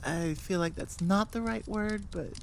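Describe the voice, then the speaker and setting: A woman's voice: mid-range voice, measured pace, vocal fry, a little hiss or hum. A woman chatting casually and unscripted as the host of her audio channel, rambling in a playfully self-mocking way. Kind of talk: casual unscripted outro chat.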